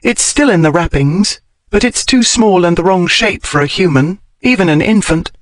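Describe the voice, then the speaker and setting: A man's voice: high voice, expressive, moderate pace, no room sound, pitched above the performer's own voice. A man voicing a bold, curious scholarly woman in an audiobook, with precise, bookish and insistent phrasing. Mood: keen, chatty. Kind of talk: audiobook character dialogue.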